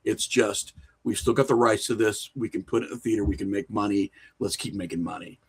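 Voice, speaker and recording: A man's voice: fairly low pitch, brisk, slightly rough. A man talking informally as an interview guest, explaining his views in loose, slangy speech peppered with filler phrases. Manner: animated explaining, frequent "you know" and "like", informal slang. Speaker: podcast guest, horror filmmaker. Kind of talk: casual interview conversation.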